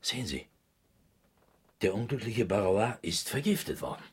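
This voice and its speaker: An actor playing a stern, suspicious physician in a German radio drama, speaking in a husky, pointed, deliberate way. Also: male voice, fairly low pitch, animated, a little hiss or hum, close to an everyday voice. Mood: grave, pointed.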